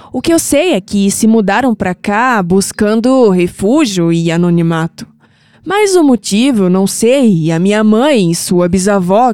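A woman's voice: medium pitch, dark tone, smooth, no room sound, natural voice. A woman playing a kindly, reassuring old grandmother with a Brazilian accent in an audio drama. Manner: tender, reassuring, unhurried. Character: kindly old grandmother who reads cards. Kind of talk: scripted audio drama acting.

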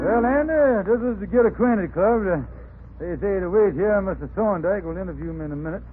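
An actor playing a folksy, hesitant middle-aged husband in old radio comedy, stammering through ungrammatical talk. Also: male voice, high-pitched, fairly quick, faint music behind, close to an everyday voice. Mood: nervous. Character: scheming, long-married middle-aged man, hapless club leader. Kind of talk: 1940s radio comedy acting. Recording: tinny, noisy old radio recording.

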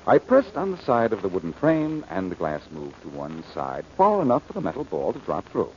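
A wisecracking 1940s radio sleuth with a lowish, very lively voice. He is glib and sure of himself, heard through a muffled old recording with faint noise. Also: a little fast. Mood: cocky, teasing. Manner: glib, teasing. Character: cocky, wisecracking male amateur sleuth, cool and quick-witted.

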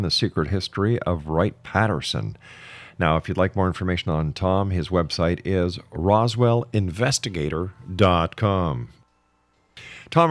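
A man's voice: deep voice, no room sound. A man hosting a radio interview show with a polished announcer's delivery. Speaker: radio host.

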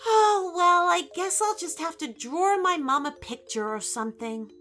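A woman voicing a polite, gentle little girl in a children's story, timid and hesitant. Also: very high voice, big pitch swings, takes it slowly, faint music behind, pitched above the performer's own voice, heavily acted. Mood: hesitant, hopeful.

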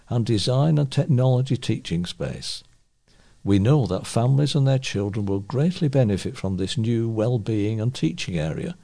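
A man's voice: mid-range voice, gravelly, mellow. A man reading scripted local news as a volunteer newsreader.

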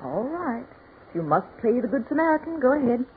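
An American actress playing an impatient, highly strung woman in a 1940s radio horror play. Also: sing-song, brisk, narrow, tinny audio. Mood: scornful impatience. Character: impatient, scornful woman turning fearful. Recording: noisy band-limited 1940s radio recording.

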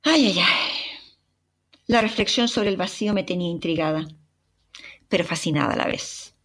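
Woman, Chilean accent, young adult, breathy, expressive personal storytelling, podcast narration. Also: fairly low pitch, normal speed. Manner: expressive, dramatic retelling with sighs and exclamations.

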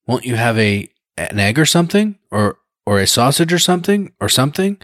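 A man reading aloud, voicing a flustered, chatty young gentleman who babbles in a hearty, nervous way. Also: medium pitch, sing-song, a little fast, dry recording, clean studio sound, voice pushed up.

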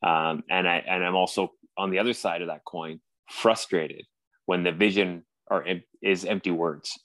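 Deep-voiced Canadian man, earnest and halting. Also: fairly even intonation, fairly bright tone, no echo. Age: 40s. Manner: earnest, halting with frequent restarts. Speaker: podcast host. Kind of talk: casual conversation.

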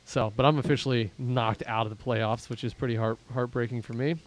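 A man from Pittsburgh hosting a casual fantasy football podcast, rambling and self-deprecating as he thinks out loud about the standings. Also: medium pitch, fairly even intonation, brisk. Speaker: podcast host and league commissioner.

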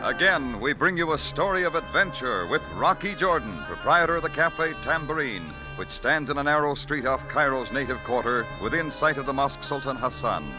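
A man's voice: medium pitch, lively intonation, moderate pace, few pauses, music in the background, poor audio. A male radio announcer in a formal, declamatory style introducing a 1940s drama.

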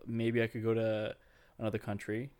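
A man in his late teens, born in Canada, chatting as a podcast guest in a relaxed, rambling way. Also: fairly low pitch, normal speed, smooth. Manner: relaxed, rambling, lots of fillers and restarts. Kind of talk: casual chat.

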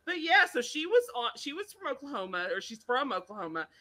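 A woman from the American South co-hosting a casual podcast, recalling things in a rambling, lively way. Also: sits high, a little fast. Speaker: podcast co-host. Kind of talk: casual chat.

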